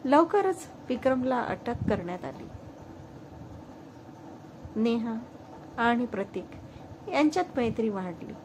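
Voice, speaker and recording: A woman's voice: on the high side, big pitch swings, takes it slowly, long pauses, background noise. A woman narrating a scripted story as a storyteller. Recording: music under the voice. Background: music.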